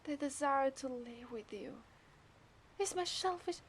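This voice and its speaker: Obsessive, seductive mermaid played by a woman, Italian accent, unhurried teasing half-whispers, ASMR roleplay, light ambient noise. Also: sits high. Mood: dreamy, devoted. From Italy.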